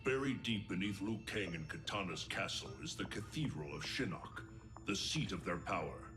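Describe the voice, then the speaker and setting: Grim, deep-toned thunder god. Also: male voice, lively intonation, normal speed, music in the background. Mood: grave, resolute. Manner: grave, formal, declamatory. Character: grim, solemn male thunder god, protector of Earth. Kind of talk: dramatic video game acting.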